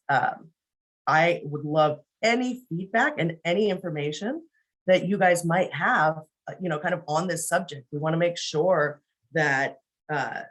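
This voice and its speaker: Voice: mid-range voice, rough recording. A presenter giving an informal screen-share training on a video call, talking conversationally and often checking in with the listeners by saying "right?".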